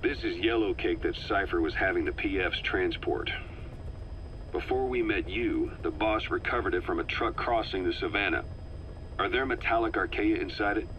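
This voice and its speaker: An actor playing a gruff, shrewd military veteran in a video game, talking in a dry, clipped, sardonic way on a muffled, hissy tape. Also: male voice, low-pitched, moderate pace, lightly acted. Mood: wry, suspicious. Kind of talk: dramatic video game dialogue. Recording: muffled cassette tape with hiss.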